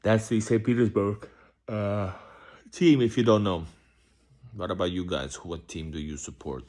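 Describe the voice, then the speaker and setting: A man's voice: fairly low pitch, fairly even intonation, unhurried, a little mellow. A man hosting a casual live-stream Q&A as a vlogger, rambling loosely with many restarts and fillers. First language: Russian. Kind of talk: casual live-stream chat answering comments.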